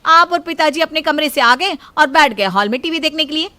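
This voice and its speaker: A woman voicing a sharp-tongued, taunting young daughter-in-law in a family story. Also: high-pitched, expressive, fairly quick, dry recording, voice pushed up. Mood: scornful nagging. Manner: taunting, complaining.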